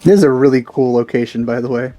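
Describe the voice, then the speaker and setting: Mellow-voiced man commenting on gameplay. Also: medium pitch, fast, soft background music. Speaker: let's play host.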